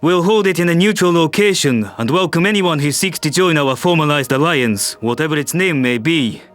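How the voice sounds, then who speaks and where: A man's voice: fairly high pitch, animated, moderate pace, fairly bright tone, faint music behind. A man voicing a composed male world leader in a science fiction audio drama, speaking formally and diplomatically. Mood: solemn, supportive. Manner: formal, statesmanlike.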